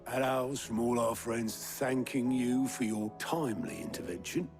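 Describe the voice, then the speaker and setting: A man's voice: medium pitch, moderate pace, soft background music, close to an everyday voice. A man playing a blunt, wry comrade with dry humour in a video game drama. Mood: wry, encouraging.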